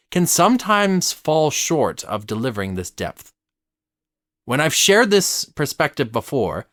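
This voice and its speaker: A man narrating a scripted personal essay as a theatre commentator, articulate and formal, with measured phrasing. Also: mid-range voice, fairly bright tone, no room sound, studio quality.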